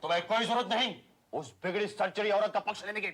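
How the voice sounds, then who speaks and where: An actor playing a blustering, domineering army major husband who barks pompous, mocking orders in a broad sitcom style. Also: male voice, sits high, fast talker, fairly bright tone. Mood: outraged. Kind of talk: broad Indian TV sitcom acting.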